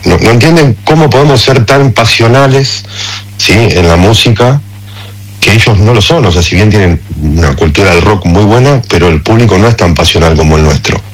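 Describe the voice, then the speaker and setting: A low-pitched Argentine man speaks as an interview guest on the radio. His delivery is informal and passionate, and he often adds little tags to check that the listener follows him. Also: animated, normal speed, poor audio. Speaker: interview guest, concert promoter.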